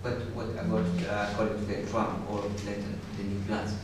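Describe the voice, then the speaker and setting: A man's voice: fairly low pitch, monotone, noisy background. Insistent young man from Afghanistan, distant. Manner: insistent, halting non-native phrasing. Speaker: student asking a question. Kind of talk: audience question. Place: university lecture hall. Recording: echoey lecture hall from far off.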